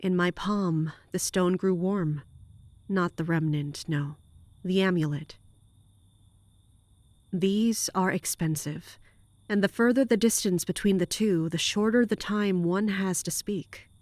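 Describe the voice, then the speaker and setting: An actress playing the first-person storyteller of a fantasy audio drama, in scripted narration. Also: female voice, fairly low pitch, slow pace, darkish tone, no echo. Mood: measured, intent.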